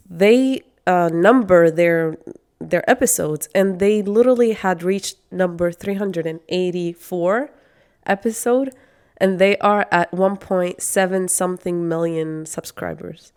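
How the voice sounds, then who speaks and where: A woman in her twenties who grew up speaking Arabic chats on her podcast with eager enthusiasm. Her voice is smooth and clear, sitting a little low. She talks in a loose, casual way, full of fillers and restarts. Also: measured pace, a little mellow. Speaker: podcast co-host. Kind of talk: casual chat.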